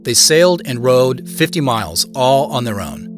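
A man's voice: medium pitch, sing-song, normal speed, over music, close to an everyday voice. An actor playing a solitary, private man who narrates his own story in an understated, conversational, matter-of-fact way. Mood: reflective. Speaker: narrator of an audio drama. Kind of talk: first-person scripted narration.

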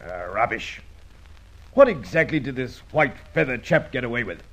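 An actor playing a brusque, sceptical senior police chief in an old radio drama, gruff and raspy. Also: male voice, mid-range voice, animated, moderate pace, fairly bright tone. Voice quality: gravelly, raspy. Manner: gruff, dismissive.

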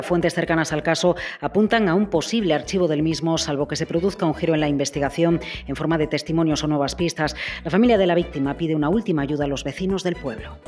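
A man playing a brisk, formal news reporter, reading a scripted crime bulletin. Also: on the high side, darkish tone, soft background music, natural voice. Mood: urgent, formal.

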